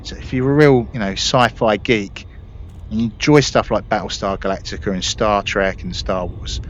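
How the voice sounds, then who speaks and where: A man's voice: fairly low pitch, moderate pace. A man giving casual, informal gameplay commentary, explaining things as he plays. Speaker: gaming content creator. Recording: dull mic with background noise. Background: spaceship game sound.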